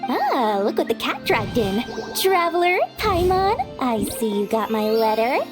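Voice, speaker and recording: A woman's voice: fairly high pitch, big pitch swings, a little slow. A woman voicing a small, greedy female merchant in a video game, with a theatrical, wheedling, smug delivery. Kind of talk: English video game dub voice acting. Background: game music.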